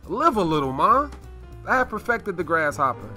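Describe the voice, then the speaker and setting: A breezy young dad played by a man in a family radio play, high and bright in voice. He is showy and jovial, with faint music behind him. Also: very animated, moderate pace, heavily acted. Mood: breezy, proud. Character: breezy, boastful young dad hosting Christmas Eve.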